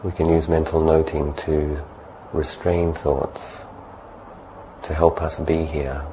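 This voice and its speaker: Really deep voiced guy doing a guided meditation, flat and calm, bit of background noise. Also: low-quality recording. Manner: calm, unhurried, gently instructive. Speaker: Buddhist monk leading guided meditation.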